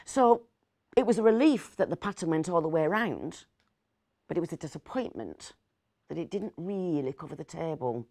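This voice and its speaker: A woman in her fifties reading a story aloud dramatically, with pauses and hushed asides. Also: sits low, expressive, dry recording. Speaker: actor reading a short story.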